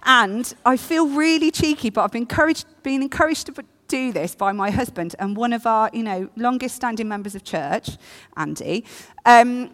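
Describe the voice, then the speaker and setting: A woman with a croaky voice and a slightly high pitch gives a casual, laughing church talk. Her words ring out with a long hall reverb. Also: expressive, normal speed, fairly bright tone. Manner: chatty, self-deprecating, laughing asides. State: croaky voice from illness. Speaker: preacher. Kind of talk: all-age church talk.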